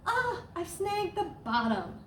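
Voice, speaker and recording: A woman's voice: very high voice, sing-song, takes it slowly, distant mic, pitched above the performer's own voice, exaggerated voice. A woman voicing a gloomy male pessimist from a children's storybook, mumbling and grumbling. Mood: exasperated. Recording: roomy echo, clear background noise.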